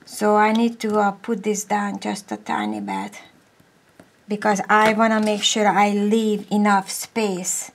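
Woman, slow, crafting tutorial, faint background hiss. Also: mid-range voice, flat delivery. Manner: slow, step-by-step, drops to a whisper while working. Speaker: papercraft tutorial host. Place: craft table indoors, overhead camera.

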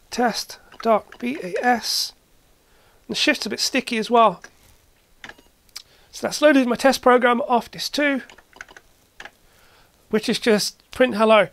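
A hobbyist host giving a casual hands-on demonstration, halting and matter-of-fact, thinking aloud while working. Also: mid-range voice, creaky, fairly bright tone. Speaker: host, retro computer hobbyist. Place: indoor cluttered workshop.

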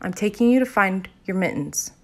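A woman's voice: mid-range voice, animated, normal speed. A woman voicing a kindly, patient female schoolteacher in a children's audiobook, explaining things reassuringly. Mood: amused, reassuring.

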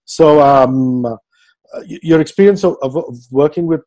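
A man's voice: fairly high pitch, moderate pace, warm tone. A man in his thirties, a radio host, interviewing a guest over a video call from a home office and asking rambling questions.

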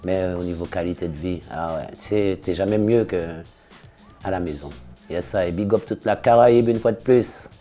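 A man in his fifties, a singer and DJ, answering interview questions in a relaxed, casual way, full of slangy shout-outs. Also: low-pitched, moderate pace, faint music behind. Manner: relaxed, laid-back, with slangy big-ups. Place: studio in front of blue backdrop.

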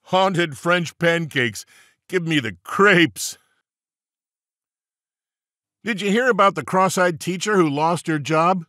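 A man's voice: on the high side, slow, pauses often, bright, forceful tone, dry recording, studio quality. A man narrating an audiobook of jokes, reading page numbers aloud and landing each punchline playfully.

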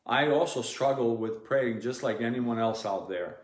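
A Serbian-accented male priest in his forties speaks earnestly and plainly to his flock, pausing often on ums and restarting his sentences. His voice sits at a medium pitch. A hard living room adds a noticeable echo around him. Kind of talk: informal teaching to parishioners. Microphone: device camera at close range. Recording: echoey room.

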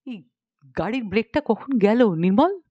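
A woman's voice: a little high, animated, normal speed, mellow, dry recording, lo-fi sound. A woman voicing an agitated, incredulous old grandfather in a comic story. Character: flustered, indignant old grandfather.

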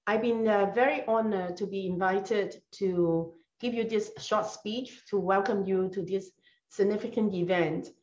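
A woman's voice: mid-range voice, unhurried, darkish tone, highs cut off. Woman, roomy webcam audio. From Hong Kong. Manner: storytelling, earnest. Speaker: speaker giving opening remarks. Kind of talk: personal story speech. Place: home study with bookshelves. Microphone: video call webcam.

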